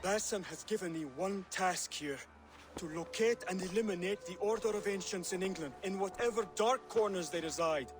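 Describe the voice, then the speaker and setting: A man playing an earnest, devout young assassin scholar in a video game, speaking in a measured, formal, instructive way. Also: sits high, music in the background, close to an everyday voice. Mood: grave, persuasive. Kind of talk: video game cutscene acting.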